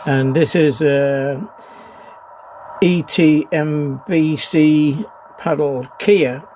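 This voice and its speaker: A man gives a casual, unscripted show-and-tell in a halting, hesitant way, often pausing mid-sentence. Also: fairly high pitch. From New Zealand. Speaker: amateur radio operator, presenter. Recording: noisy amateur home video.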